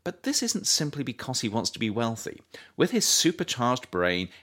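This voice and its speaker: A man reading his own nonfiction book aloud as a scripted audiobook narration, with expressive, deliberate, emphatic phrasing. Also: mid-range voice.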